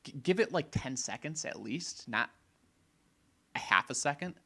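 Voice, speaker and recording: A man co-hosting a casual gaming podcast, opinionated and rambling, a little hoarse, often restarting his sentences. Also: medium pitch, lively intonation, unhurried, fairly bright tone. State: slightly hoarse. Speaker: podcast co-host.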